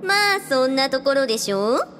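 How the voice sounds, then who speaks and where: A flirtatious, glamorous woman spirit played by an actress talks breezily and with assurance in a radio drama. Her pitch moves in lively swoops over faint music. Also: normal speed. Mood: knowing. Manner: coquettish, casual, self-assured. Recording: music behind the voice.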